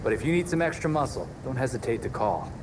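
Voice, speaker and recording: An actor playing a young, friendly, dryly joking masked vigilante in a video game cutscene. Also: male voice, mid-range voice, sing-song, fast, noisy background, close to an everyday voice. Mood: friendly concern.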